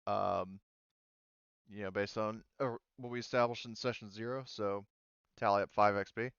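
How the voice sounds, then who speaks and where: Deep, clear-voiced man, hesitant game master. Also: steady tone, moderate pace, fairly bright tone, no room sound, dull audio. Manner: hesitant, thinking aloud. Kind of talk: casual tabletop game refereeing.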